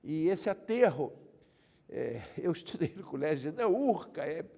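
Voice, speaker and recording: Gravelly older Carioca man reminiscing. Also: on the high side, big pitch swings, moderate pace, mellow, long reverb tail. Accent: Carioca Brazilian Portuguese. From Rio de Janeiro, Brazil. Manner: rambling, repeats himself, frequent "né?". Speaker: guest lawyer. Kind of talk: interview storytelling.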